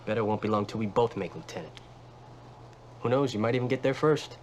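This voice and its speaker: A low-voiced man acting as an army sergeant in a video game cutscene. He is friendly and wry, talking to a comrade in a dry, matter-of-fact way. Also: lively intonation, brisk, darkish tone, a little hiss or hum, close to an everyday voice.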